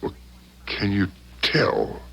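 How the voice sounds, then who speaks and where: An actor playing an anxious, polite husband in a 1970s radio drama, earnest and hesitant, on a noisy old broadcast recording. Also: male voice, slow, fairly bright tone. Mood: worried.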